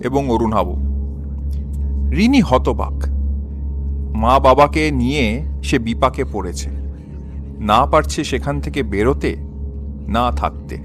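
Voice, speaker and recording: A man narrating a scripted crime story dramatically, with measured pauses. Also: mid-range voice, animated, over music.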